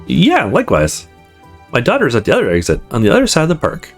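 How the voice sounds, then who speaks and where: Middle-aged hostess mom as a streamer puts her on, friendly and doting, very animated, light music behind. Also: mid-range voice, quick pace.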